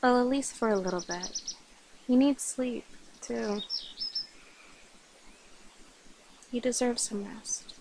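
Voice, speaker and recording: A woman voicing a sweet, caring vampire in a soft, hesitant ASMR roleplay. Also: a little high, unhurried, a little hiss or hum. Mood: tender, caring.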